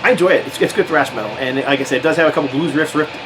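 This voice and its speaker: A man's voice: on the high side, rapid-fire. Rambling American man over thrash music. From United States. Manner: rambling, relaxed, many fillers and restarts. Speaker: metal record collector vlogger. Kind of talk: casual record show-and-tell.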